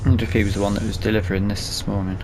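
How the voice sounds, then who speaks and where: Low-voiced man with a gravelly creak. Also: flat delivery, very fast, over music. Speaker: gaming video host. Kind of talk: casual let's play commentary.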